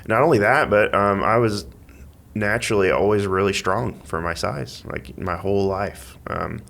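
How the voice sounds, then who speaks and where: Guy on a podcast, deep gravelly voice, rambles a bit and stops and starts, just chatting. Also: a bit bright. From Missouri, United States. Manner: halting, rambling, thinking aloud. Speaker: podcast guest, amateur athlete and school IT worker. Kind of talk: casual interview chat.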